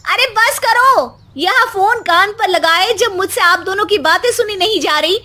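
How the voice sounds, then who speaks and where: A woman's voice: very high voice, normal speed, sharp tone, pitched above the performer's own voice. A woman voicing an exasperated grown daughter who plays bossy peacemaker, scolding and pleading, in a cartoon.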